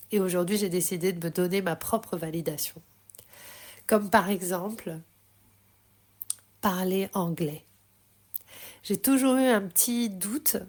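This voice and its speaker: A woman with a French accent hosting a podcast, sharing a slow, intimate, reflective spiritual monologue. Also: medium pitch, a little mellow. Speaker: podcast host.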